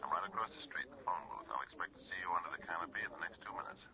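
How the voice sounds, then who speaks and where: A man's voice: low voice, lively intonation, racing, vocal fry. An actor playing a menacing, controlling man who gives oily, taunting commands in a radio drama. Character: menacing, controlling male bully named Chaffee, mockingly suave. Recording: dull, noisy old radio recording.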